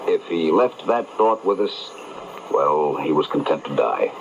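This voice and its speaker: A man's voice: rumbling low, expressive, unhurried, exaggerated voice. An actor playing a shrewd, urbane detective in an old radio mystery, explaining his case with assurance. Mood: assured. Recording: dull, hissy AM radio reception.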